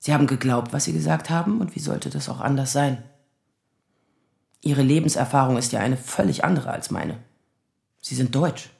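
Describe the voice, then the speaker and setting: A woman's voice: a bass voice, normal speed, vocal fry, long pauses, darkish tone, full character voice. An actress playing a guarded, sardonic woman lawyer in her thirties in a radio drama, restrained and dry. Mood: cool, sardonic. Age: 30s. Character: guarded, sardonic 35-year-old woman lawyer, anxious daughter.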